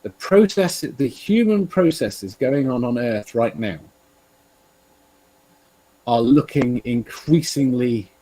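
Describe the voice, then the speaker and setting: English man for casual talk show: husky voice, halting rambling delivery, sweary, close dry sound. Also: mid-range voice, expressive, warm tone, no room sound, low-quality recording. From England.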